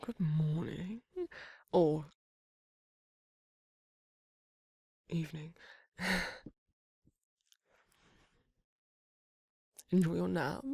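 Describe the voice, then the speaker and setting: A husky, gravelly vampire lover acted by a woman murmurs tenderly in an ASMR romance roleplay. The voice sits a little low and is very close and dry, often sinking to a whisper. The delivery is doting, flirty and coaxing. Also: mellow, dry recording, full character voice. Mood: gently teasing. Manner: tender, unhurried, coaxing endearments.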